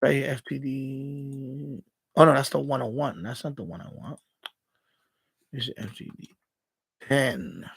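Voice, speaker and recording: A middle-aged man hosting a casual live stream, rambling and thinking aloud in a halting way. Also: mid-range voice, vocal fry, breathy edge.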